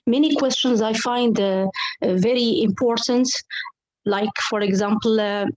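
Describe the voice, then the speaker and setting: A woman's voice: fairly high pitch, measured pace, darkish tone, no echo, poor audio. A woman from Morocco, an English teacher speaking on an online round table, hesitant and full of fillers and restarts. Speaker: English teacher and club coordinator.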